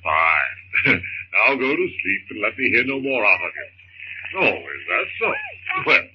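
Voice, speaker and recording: An actor playing a calm, reassuring young husband and father in a 1930s radio drama. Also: fairly low pitch, a little slow. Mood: playful, tender. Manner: gentle, breezy, reassuring. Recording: noisy, muffled 1930s radio recording.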